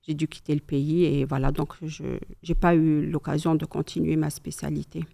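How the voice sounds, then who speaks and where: A middle-aged woman from Algeria talks over coffee about her past and her children. Her voice is mellow and relaxed, and she tells her memories calmly and thoughtfully, with pious asides. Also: sits low, normal speed, gravelly. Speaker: mother sharing memories. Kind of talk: casual chat.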